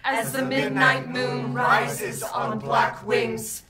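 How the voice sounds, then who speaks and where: A chanting old village crone. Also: female voice, on the high side, level tone, drawn-out words, pushed and bright, heavily acted. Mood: solemn. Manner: solemn, chanting. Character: devout elderly village woman leading a prayer. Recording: roomy distant voice over crowd.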